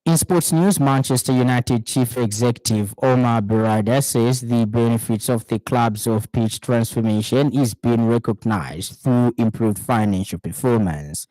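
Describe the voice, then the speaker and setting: A man with a Zambian accent reading a radio news bulletin in a measured, formal newsreader delivery. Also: medium pitch, dark tone, dry recording, rough recording. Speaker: news anchor. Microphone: studio microphone.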